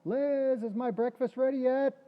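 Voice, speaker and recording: A man's voice: very high voice, fairly even intonation, moderate pace, faint music behind. A man playing a doubtful, exasperated husband in an old-time radio sitcom, with a wry, theatrical delivery. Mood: hopeful. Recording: echoey hall, dull muffled sound.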